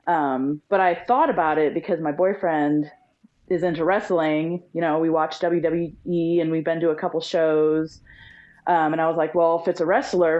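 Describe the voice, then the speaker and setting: A woman from the US Midwest, a guest on a podcast, chatting casually and laughing often. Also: low voice. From Illinois, US Midwest. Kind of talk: casual interview. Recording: dull lo-fi audio.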